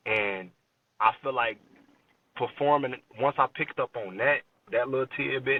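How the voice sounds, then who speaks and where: A man's voice: fairly low pitch, no room sound. Man, late twenties, American accent, rap artist interviewee, casual slang, lo-fi distant recording. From Chicago, USA. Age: late 20s. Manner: informal, slangy, frequent check-in tags. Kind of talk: casual podcast interview chat. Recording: dull lo-fi sound, distant from mic.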